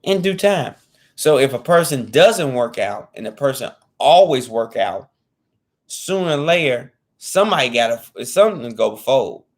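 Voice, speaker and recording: A man's voice: mid-range voice, expressive, a little slow. Guy in his 30s just talking it through, earnest, says things twice, loose slangy way of talking, voice sits mid range. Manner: earnest and repetitive, restating points with informal slang. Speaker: fitness vlogger. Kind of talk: casual talk to camera.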